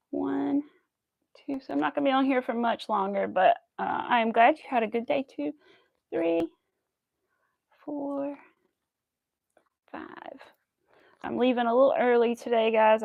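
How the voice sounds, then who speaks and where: A woman's voice: a little high, vocal fry, dry recording. An American woman in her early thirties chatting casually and in a rambling way on a crafting livestream. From United States. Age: early 30s. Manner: chatty, rambling, counts stitches aloud. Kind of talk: casual livestream chat while crocheting.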